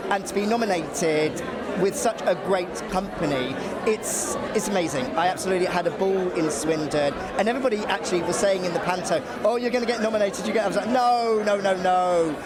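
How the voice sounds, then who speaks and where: A male stage comic gives a casual interview, excited and bubbly throughout. He talks over a very loud crowd in a busy public space. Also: sits high, fairly quick. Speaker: interview guest, panto comic. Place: busy theatre venue. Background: loud crowd chatter.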